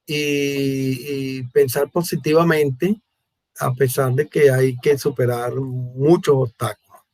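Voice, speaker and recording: A Venezuelan man hosting a conversational online interview, speaking Spanish in a deliberate, halting way with repeated words. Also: fairly high pitch, dry recording. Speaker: host, mathematics educator. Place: remote online conversation from Brazil.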